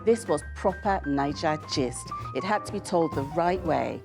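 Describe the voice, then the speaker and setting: A woman reads in a measured, expressive audiobook style. Her voice sits fairly low, with a marked creak at the edges. Music plays clearly behind her. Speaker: author. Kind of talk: scripted talk and audiobook-style reading. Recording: music bed under the voice.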